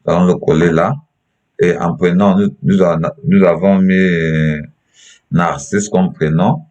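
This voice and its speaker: Very deep-voiced Cameroonian man, halting. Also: steady tone, a little mellow, lo-fi sound. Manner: halting, repeats himself. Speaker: tutorial presenter. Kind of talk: step-by-step screen tutorial.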